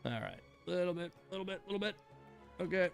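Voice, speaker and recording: A man's voice: high voice, expressive, brisk, vocal fry, faint music behind. A man hosting a game livestream, chatting casually in a rambling, self-deprecating way. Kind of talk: casual livestream chat while playing a game.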